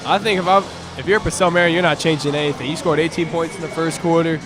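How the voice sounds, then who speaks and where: A man's voice: on the high side, steady tone, fast talker, music in the background. A young American man giving conversational color commentary at a live basketball game. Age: young adult. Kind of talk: live sports analysis, conversational.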